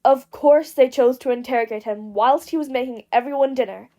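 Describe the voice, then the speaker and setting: A woman reading a piece of fiction aloud as narrator, with expressive scripted delivery. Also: high voice, fairly quick. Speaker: podfic narrator.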